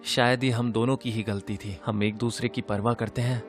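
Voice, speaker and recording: A man playing a sincere, wounded teenage boy in an animated story, speaking earnestly and expressively. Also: medium pitch, normal speed, lightly acted. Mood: confessing. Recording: music behind the voice.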